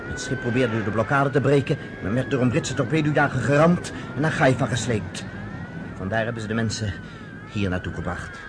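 A man's voice: mid-range voice, expressive, a little fast, background noise, natural voice. A man playing an earnest young camp resistance organizer in his twenties, matter-of-fact, in a radio play. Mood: warm. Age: 20s.

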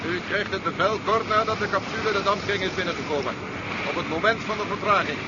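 An actor playing an authoritative, curt military general in a 1970s Dutch radio drama. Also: male voice, high voice, lightly acted. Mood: businesslike. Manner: brisk, commanding, curt. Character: authoritative male military general commanding a rocket operation. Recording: noisy, dull 1970s radio play recording.

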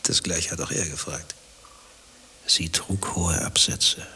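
A man's voice: low voice, slow, pushed and bright, in a whisper, exaggerated voice. An actor playing an infatuated married man in a radio play, defensive and given to impassioned philosophizing. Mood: indignant, defensive. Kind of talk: German radio play dialogue acting.

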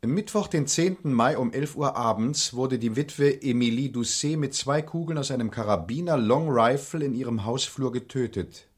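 An actor playing a courteous, probing police investigator in a German crime radio play, speaking formally and with insinuation. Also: male voice, mid-range voice, slightly rough, lightly acted. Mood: pointed, accusing. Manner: formal, deliberate, insinuating politeness.

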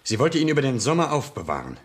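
A man's voice: medium pitch, huge pitch swings, quick pace. An actor playing a distraught husband and father in a 1970s German radio crime drama, questioning with pleading urgency. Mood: urgent, fearful.

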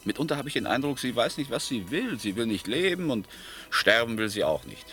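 Suave villainous oil executive: low, sharply bright voice, mock-courteous pauses, radio drama, music behind. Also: male voice, very animated. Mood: suave, genial. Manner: urbane, mock-polite, hesitant drawl with pointed pauses. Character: suave, menacing American oil company boss in Brazil. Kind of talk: German radio play acting. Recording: studio radio play with background music.

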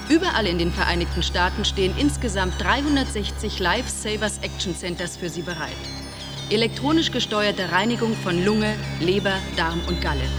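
A woman plays an announcer delivering a pushy advertisement, urgent and persuasive. Her voice is mid-pitched and rides over clearly audible background music. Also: close to an everyday voice. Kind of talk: radio advertisement. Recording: music bed under voice.

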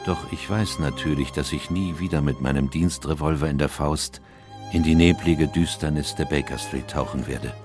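An actor playing an older, loyal English doctor in a radio drama, narrating and speaking in a grave, reflective, melancholy way. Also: male voice, extremely low pitch, normal speed, over music.

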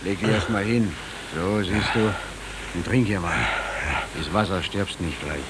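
An actor playing a rough but caring sailor in a 1940s German radio drama, calming and colloquial. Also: male voice, sits low, measured pace. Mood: soothing. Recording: very noisy 1940s radio recording.

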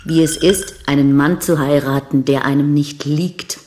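An actress playing a brash, world-weary young bride-to-be who is coquettish, blunt and provocative, in a radio crime drama. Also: female voice, deep voice, fairly even intonation, normal speed, darkish tone, slight background noise, lightly acted. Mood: bitter, weary. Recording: studio radio drama with music underneath.

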